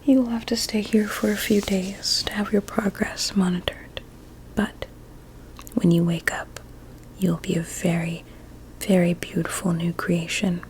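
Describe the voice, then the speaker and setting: A woman playing a vain, sinister blueberry doctor in an ASMR medical roleplay, speaking in a soft breathy whisper with soothing menace. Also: on the low side, level tone, a little slow, a little hiss or hum, low-quality recording. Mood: smugly pleased.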